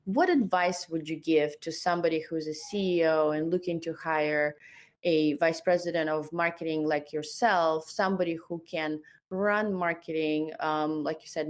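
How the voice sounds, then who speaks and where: A woman hosting an interview, asking long, stacked questions with a few fillers. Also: low voice. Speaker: podcast host.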